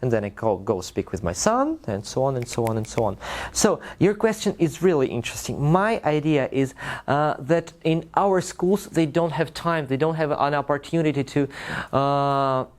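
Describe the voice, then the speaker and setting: A man with a Russian accent hosting a casual group discussion, animated and laughing often, with frequent restarts. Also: fairly high pitch, normal speed, few pauses. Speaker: workshop host.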